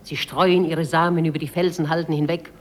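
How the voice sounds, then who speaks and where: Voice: mid-range voice, little pitch movement, fairly quick, close to an everyday voice. An actor voicing a proud, wild river spirit born of glacier ice, in a poetic monologue from a 1950s German radio play. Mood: swelling, forceful. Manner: lyrical, proud, declaimed. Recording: old radio drama with faint noise.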